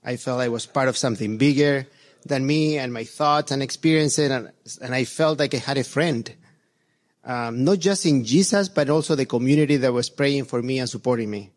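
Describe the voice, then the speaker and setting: A middle-aged man from Chile, a church elder giving an earnest, halting reflection to his congregation, with many fillers and restarts. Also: mid-range voice.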